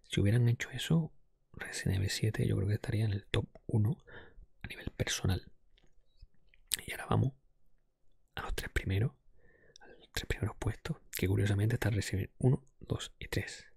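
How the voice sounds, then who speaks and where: A man from delivers ASMR in a very breathy, hushed whisper. His low voice ambles along conversationally and unhurriedly, captured close to the mic with soft mouth clicks. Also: moderate pace, long pauses, dry recording. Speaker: ASMR podcast host. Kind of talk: ASMR, improvised ranking of video games.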